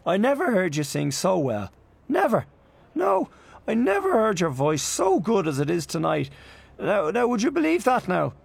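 A man doing a gushing, excitable young man. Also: high-pitched, moderate pace, gravelly, breathy edge, voice pushed up, full character voice. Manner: breathless, repeating himself in eager praise.

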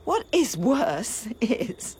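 An actress playing a grieving, vengeful young princess in a classical tragedy, giving an impassioned, bitter lament that sometimes drops to a whisper. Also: female voice, fairly high pitch, sing-song, drawn-out words, darkish tone, slight background noise, exaggerated voice. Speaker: stage actor. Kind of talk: classical tragedy stage acting.

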